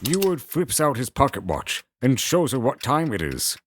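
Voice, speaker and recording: A man's voice: medium pitch, very animated, moderate pace, slight background noise. A man narrating a scripted fantasy audio drama in the present tense.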